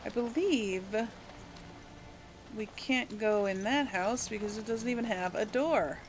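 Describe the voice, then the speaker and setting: A woman's voice: fairly high pitch, unhurried, slight background noise. A woman hosting a casual let's play, musing aloud to herself and the audience as she plays. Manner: musing, talks to herself while playing. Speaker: gaming video host.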